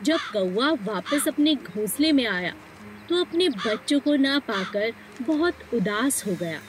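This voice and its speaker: A woman's voice: on the high side, animated, slow, background noise. A woman narrating a children's moral story as a scripted storyteller.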